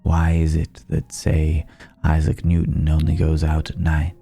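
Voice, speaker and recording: A man playing a suave, ancient vampire club owner in an intimate ASMR roleplay, speaking in a genteel, quietly menacing way and savouring his pauses. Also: very deep voice, monotone, creaky, husky, soft background music, full character voice. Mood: smug, confiding. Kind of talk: ASMR roleplay, intimate second-person acting.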